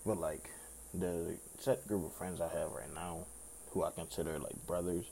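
A young man with a raspy voice hosting his own podcast in loose, rambling casual chat, swearing freely. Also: deep voice, fairly even intonation, normal speed, dark tone, a little hiss or hum. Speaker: podcast host.

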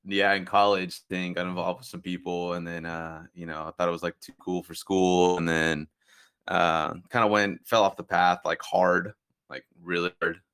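A young man with a very low, gravelly voice talks in a loose, rambling way. He fills his sentences with "like" and "uh" and restarts often, as if thinking aloud in casual conversation. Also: flat delivery, normal speed, fairly bright tone. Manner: rambling, many fillers and restarts. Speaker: podcast guest.

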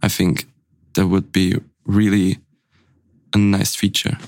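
A young man from Burgenland co-hosting a podcast, speaking softly and hesitantly with fillers. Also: deep voice, completely flat delivery, slow, no room sound, clean studio sound. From Burgenland, Austria. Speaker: podcast co-host. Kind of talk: casual podcast conversation.

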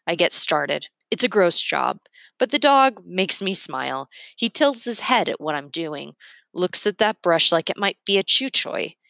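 A woman's voice: on the low side, big pitch swings, unhurried, gravelly, fairly bright tone, dry recording. A woman reading a storybook aloud expressively, narrating in the first person.

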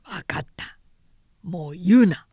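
A woman's voice: lowish voice, expressive, slow, warm tone, slightly rough, no echo, clean studio sound, full character voice. A woman voicing a proud, hot-tempered Chinese warlord with archaic, commanding speech in an audiobook story. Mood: curt, strained.

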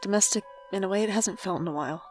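A woman's voice: mid-range voice, fast, a little mellow, faint music behind, close to an everyday voice. A woman playing a guarded, wry young adult who is estranged from family, talking and narrating introspectively in an audio drama. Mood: reflective. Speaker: main character and narrator.

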